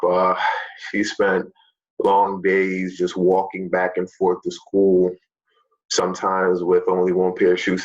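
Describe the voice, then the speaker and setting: A man's voice: low-pitched, lo-fi sound. A young man, a fitness teacher from Jamaica, talking casually to his students with many fillers. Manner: relaxed, chatty, many fillers.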